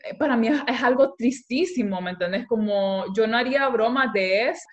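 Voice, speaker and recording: A young woman with a Honduran Spanish accent, talking in a chatty, animated way and often checking that she is understood. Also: a little high, a little fast, lo-fi sound. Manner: chatty, animated, frequent "me entendés" tags. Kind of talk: casual conversation on social issues.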